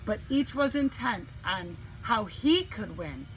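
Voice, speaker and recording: A woman reading a children's storybook aloud in an animated, dramatic way with deliberate emphasis. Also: medium pitch, slight background noise, rough recording. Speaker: teacher reading a picture book.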